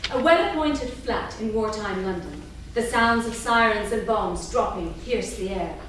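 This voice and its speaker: A woman's voice: mid-range voice, expressive, unhurried, fairly bright tone. A woman reading scripted stage directions at a staged play reading, recorded in a theatre. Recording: echoey room from far off, faint noise.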